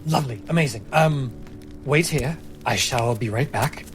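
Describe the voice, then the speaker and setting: A nervous young king, acted by a man at a fantasy game table, polite and eager to please. He speaks in gentle, halting phrases over clearly audible music. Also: medium pitch, close to an everyday voice. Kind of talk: fantasy roleplay dialogue.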